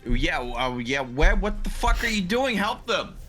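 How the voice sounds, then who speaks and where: A man's voice: sits high, sing-song, normal speed, over music. A man playing a tabletop role-playing game, casually saying what his character does and sometimes speaking for him.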